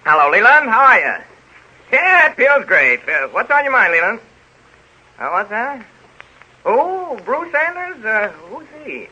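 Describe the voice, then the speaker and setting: An actor playing a blustery, scatterbrained Hollywood studio boss in a 1930s radio comedy, bossy, stammering and excitable. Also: male voice, extremely high pitch, measured pace, breathy edge, exaggerated voice. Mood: agitated, haggling. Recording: dull vintage 1930s radio recording.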